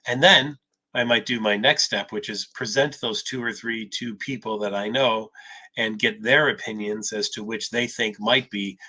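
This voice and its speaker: A man's voice: on the low side, pushed and bright, rough recording. A man, a psychologist, answering viewer questions in a live Q&A, explaining patiently with a touch of sarcasm, a little stuffed up. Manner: explanatory, mildly sarcastic when acting out obsessive thinking. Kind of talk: live Q&A talk.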